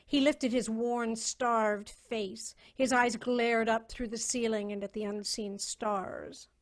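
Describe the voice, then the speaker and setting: A woman narrator giving a measured, literary dramatic reading of a story over a video call. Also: on the high side, fairly even intonation.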